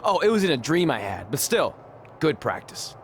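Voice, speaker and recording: A man's voice: on the high side, sing-song, moderate pace, slight background noise. A man playing a goofy, eager, boastful young wrestler with a jokey manner in a video game. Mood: playful. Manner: jokey, cheerful. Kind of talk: video game cutscene acting.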